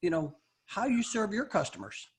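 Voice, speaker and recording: A man hosting a leadership podcast, asking questions haltingly with many fillers and a friendly enthusiasm. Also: high-pitched, wildly swooping pitch. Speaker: podcast host. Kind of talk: podcast interview.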